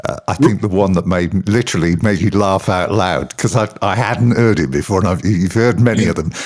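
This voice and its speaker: A man hosting a sports book podcast, interviewing a guest and introducing clips in a steady, plain way. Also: sits low, brisk. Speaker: podcast host.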